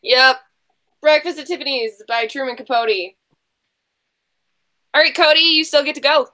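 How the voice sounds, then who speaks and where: Bright, high-voiced woman hosting a quiz. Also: normal speed. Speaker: host of an online book quiz. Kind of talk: unscripted quiz hosting, chatting with contestants. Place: online group video call.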